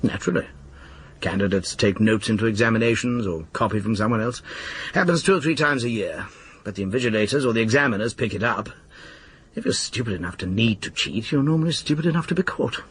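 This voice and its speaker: An English actor playing a suave, slyly amused and embittered exam official in a radio drama, with clipped, sardonic delivery. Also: male voice, on the low side, lively intonation, quick pace, fairly bright tone, slight background noise. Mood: dismissive, musing. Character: urbane, bitter, slyly amused male exam-board official. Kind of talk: naturalistic radio drama acting.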